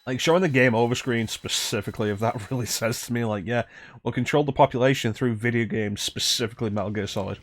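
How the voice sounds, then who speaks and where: A man's voice: mid-range voice, fast talker. A man giving casual, wry gameplay commentary as a streamer, with game audio behind him. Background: video game audio.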